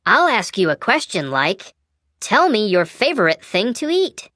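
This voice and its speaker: A woman's voice: medium pitch, very animated, unhurried, a bit bright, no echo, studio quality. A woman voicing a cheery, eager storytelling Christmas elf for a talking toy, bubbly, encouraging and sing-song in scripted prompts. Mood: cheerful explaining. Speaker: talking toy character guiding a word game.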